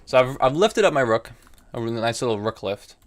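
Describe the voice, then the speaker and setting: A man's voice: medium pitch, lively intonation, a little fast. A young American man in his early twenties streaming chess, thinking aloud about his moves and answering chat in a casual, musing way. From United States. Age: young adult. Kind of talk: live commentary while playing chess.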